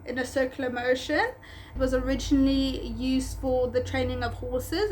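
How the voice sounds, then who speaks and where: A woman's voice: sits high, slight background noise. A young woman in her twenties from South Africa, Afrikaans by birth, chatting casually in a vlog with a South African accent and a rambling, self-correcting manner. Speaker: pet spa owner.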